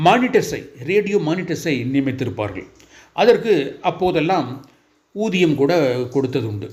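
A man hosting an informational radio-style programme, speaking formally and deliberately in short phrases with pauses between them. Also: on the high side, a little mellow. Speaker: host of a radio hobby programme.

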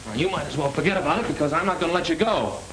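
A man's voice: fairly high pitch, expressive, racing, crowd noise, lightly acted, rough recording. An American actor playing a loyal, blunt, urgent young newspaperman friend in a 1930s crime film. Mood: urgent protest. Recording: hissy old 1930s film soundtrack.

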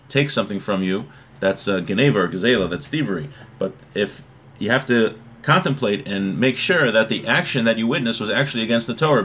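A man's voice: on the low side, a bit bright. A man in his thirties with an American accent teaching a religious text informally, reading and then explaining, often rephrasing himself. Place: small home room, indoors. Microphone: webcam or laptop mic at desk distance. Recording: clear background room noise.

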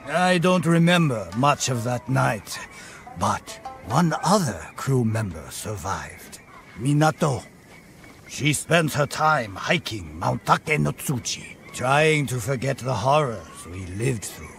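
An actor playing a haunted pirate survivor who tells grim tales in an ominous, theatrical, deliberate way for a video game. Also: male voice, medium pitch, big pitch swings, gravelly, fairly bright tone, full character voice. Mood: grave, warning. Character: haunted old storyteller, surviving pirate crewman. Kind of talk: dramatic storytelling, video game cutscene acting. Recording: dramatic music behind the voice. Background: music.

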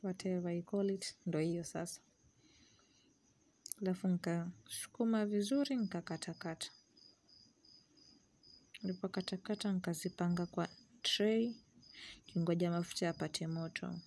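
Chatty young woman, lowish voice. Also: normal speed, pauses often, darkish tone, slight background noise. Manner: chatty, switches between English and Swahili. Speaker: cooking vlogger. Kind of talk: casual cooking tutorial. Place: small home kitchen indoors. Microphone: camera on counter, medium distance.

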